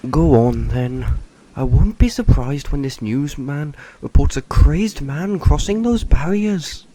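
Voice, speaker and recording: A man's voice: a little high, lively intonation, slow, warm tone, a little hiss or hum. A man playing a sceptical, down-to-earth uncle, dismissive and teasing, in an audio drama. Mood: incredulous.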